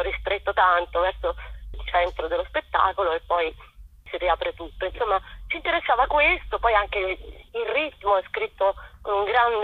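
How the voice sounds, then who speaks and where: A woman's voice: mid-range voice, breathy edge. A woman, a theater author and actress, explaining her work hesitantly as a guest in a spontaneous interview over the phone. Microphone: telephone call. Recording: tinny, muffled telephone line with echo.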